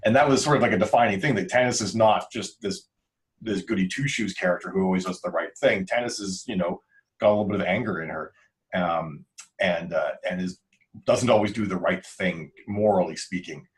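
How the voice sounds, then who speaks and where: A man's voice: low-pitched, fairly quick. A man speaking in casual podcast chat as a writer and member of the show's crew.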